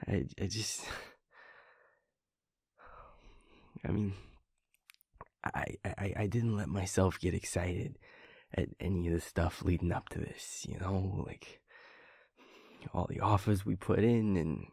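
A man playing a tender, overwhelmed young werewolf boyfriend in an intimate audio roleplay, husky and gravelly, murmuring haltingly to a partner. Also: low voice, steady tone, darkish tone, no echo, full character voice. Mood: excited, disbelieving.

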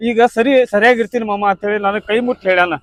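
An actor playing an anxious elder brother who pleads and soothes, with a North Karnataka Kannada accent. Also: male voice, high voice, very fast, fairly bright tone, dry recording, clean studio sound. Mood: pleading. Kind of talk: village drama acting.

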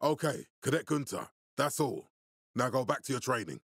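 An actor playing a stern, middle-aged military leader in a post-apocalyptic video game, speaking with formal, deliberate authority in a husky, gravelly voice. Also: male voice, mid-range voice, big pitch swings, dry recording, clean studio sound. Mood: commanding. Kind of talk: video game character dialogue.

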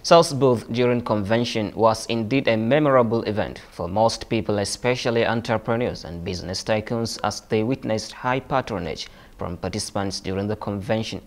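A man's voice: fairly low pitch, moderate pace, few pauses. A man reporter reading scripted news narration.

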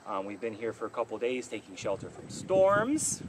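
A man's voice: on the low side, sing-song, normal speed. A man casually co-hosting a sailing vlog outdoors on a boat deck, in an upbeat, easygoing way. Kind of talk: casual chat to camera. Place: outdoors on a sailboat deck on a river. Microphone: camera mic from a few feet away. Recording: wind noise, distant camera mic.

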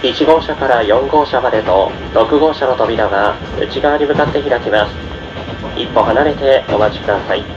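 Dull-sounding male train conductor over loud rumble. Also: normal speed. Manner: polite, formulaic delivery. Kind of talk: formal train announcement. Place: train PA heard inside a moving carriage. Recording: dull onboard speakers with loud train noise.

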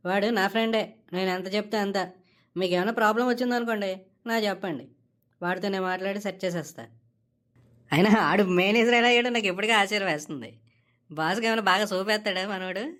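A woman's voice: medium pitch, brisk, a bit bright, close to an everyday voice. A woman voicing a brash, entitled and scheming young male office worker in a comedy cartoon, talking casually and cockily. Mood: boastful, smug. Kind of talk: Telugu comedy cartoon dialogue.

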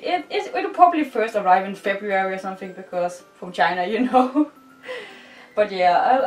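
A woman's voice: medium pitch, darkish tone. A young woman from Denmark with a Danish accent, chatting in a bubbly, giggly, rambling way while decorating her bedroom, filmed by a camera across the room. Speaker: vlogger. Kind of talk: casual chat while decorating. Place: bedroom, indoors. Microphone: far camera. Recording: roomy, distant, with music faint behind.

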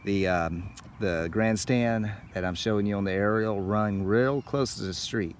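A middle-aged man with a lowish voice narrates a travel vlog on location. He speaks straight to his viewers with enthusiasm. The handheld outdoor recording carries some light background noise. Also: a little slow. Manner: enthusiastic, addresses viewers directly. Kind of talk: on-location narration to camera about music history. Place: outdoors at a small-town baseball field. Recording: outdoor ambient noise, passing vehicles.

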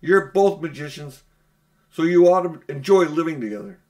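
A man acts out a brave, defiant storybook boy. The voice is pushed up higher than ordinary talk, urgent and firm, as if standing his ground with a warning. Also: normal speed, a little mellow, lightly acted.

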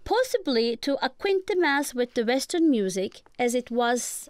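A woman's voice: high voice, big pitch swings, a little slow, darkish tone, no room sound, clean studio sound. A woman with a Turkish accent, a guest professor giving explanatory answers in a radio interview.

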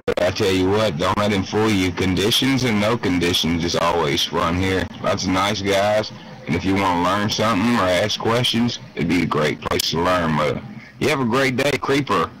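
A man's voice: low voice, normal speed, fairly bright tone, a little hiss or hum, poor audio. A man from Louisiana checking in casually on a hobby radio voice chat.

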